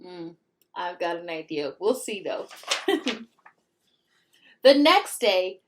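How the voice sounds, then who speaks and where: A woman reading a picture book aloud to young children in an expressive way, pausing to ask the listeners questions. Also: fairly low pitch, background noise. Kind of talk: animated children's read-aloud with asides to the listeners.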